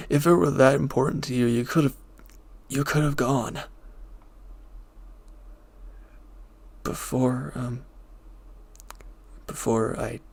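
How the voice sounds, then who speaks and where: A man playing a reformed villain turned devoted lover in an intimate audio drama, speaking softly, gently and hesitantly with a breathy voice. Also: medium pitch. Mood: hesitant, concerned.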